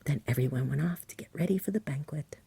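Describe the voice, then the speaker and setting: A woman reading a bedtime story in a soft, whispery ASMR style, gentle and unhurried, close to the mic. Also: deep voice, dry recording. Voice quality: whispery, breathy. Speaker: storybook reader.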